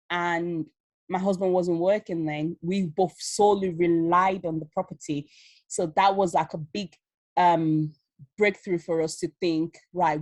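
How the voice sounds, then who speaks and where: A woman's voice: fairly low pitch, dry recording. A woman in her thirties from Leeds, with a Yorkshire accent mixed with Nigerian, telling her story in a casual interview over a video call. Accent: Yorkshire with Nigerian touches. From Leeds, England. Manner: animated, laughs often, many fillers. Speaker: guest, Amazon seller.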